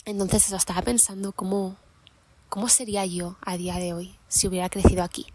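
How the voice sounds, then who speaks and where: A young woman hosting a podcast, musing aloud in an unscripted monologue while sitting outdoors in a mountain meadow, thoughtful and unhurried with many pauses and restarts. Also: medium pitch, little pitch movement, very noisy. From Catalonia, Spain. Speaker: podcast host.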